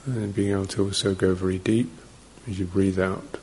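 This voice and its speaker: A man teaching guided meditation, speaking in short, gentle fragments with long pauses between them. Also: low voice, little pitch movement, slight background noise, poor audio.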